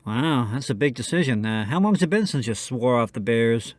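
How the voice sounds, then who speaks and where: A man's voice: mid-range voice, expressive, normal speed, no room sound. A man hosting a radio show in scripted comedic banter, playing the polite, slightly hesitant straight man.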